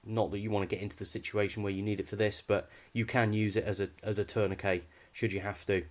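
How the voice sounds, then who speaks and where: Low-pitched British man, casual gear walkthrough. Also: little pitch movement, quick pace. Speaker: hobbyist presenter showing radio kit.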